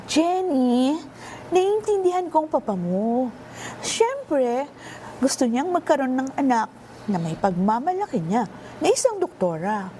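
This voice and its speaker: A gentle mother in a radio drama. Her high voice swoops softly as she reasons soothingly, over faint background noise. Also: very animated, measured pace.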